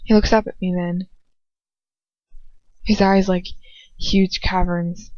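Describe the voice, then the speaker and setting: A woman's voice: lowish voice, level tone, slow, a little mellow, dry recording. A woman narrating first-person fiction as an amateur audiobook reader, in an earnest, emotive, dramatic style. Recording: dull lo-fi home recording.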